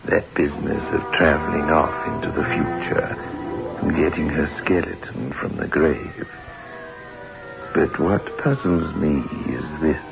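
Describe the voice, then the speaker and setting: An actor playing a macabre, gleefully sinister horror host, sardonic and theatrical in old-time radio style. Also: male voice, rumbling low, steady tone, unhurried, creaky, heavily acted. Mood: mock puzzlement. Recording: dull vintage radio recording, loud music behind.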